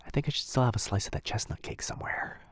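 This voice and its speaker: A man playing a kind, caring wizard in a boyfriend ASMR roleplay, murmuring softly and a little hesitantly close to the microphone. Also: quick pace, dry recording, lightly acted. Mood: musing, drowsy. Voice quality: soft, breathy, husky. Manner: gentle, hesitant, murmuring, intimate. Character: kind, caring young male wizard, gently fussing. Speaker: audio roleplay voice performer. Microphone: close, dry microphone.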